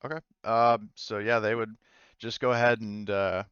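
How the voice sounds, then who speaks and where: A man's voice: lowish voice, fairly even intonation, moderate pace, a bit bright, clear, resonant tone, dry recording. A man running a tabletop game as game master, talking casually, with a slightly dull recording.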